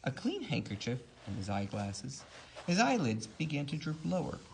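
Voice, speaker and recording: Man, bedtime storyteller, gravelly, lively rise and fall, expressive, drawn-out emphasis. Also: mid-range voice, slight background noise, poor audio. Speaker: storyteller reading to a child.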